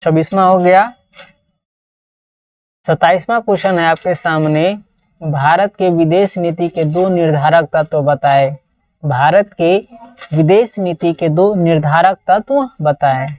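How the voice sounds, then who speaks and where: A woman's voice: lowish voice, unhurried, long pauses, a little mellow, distant mic. A woman with a Bihari accent teaching an exam-prep lesson, reading out model answers in a didactic way and repeating phrases. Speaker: teacher of political science. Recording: roomy echo, dull lo-fi sound.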